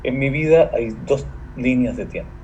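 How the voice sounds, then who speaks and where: An actor playing a serious, secretive man in audio fiction, speaking in a measured, grave way on a slightly noisy playback. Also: medium pitch, mellow, lightly acted. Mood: grave, hesitant. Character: serious, secretive man making a careful confession.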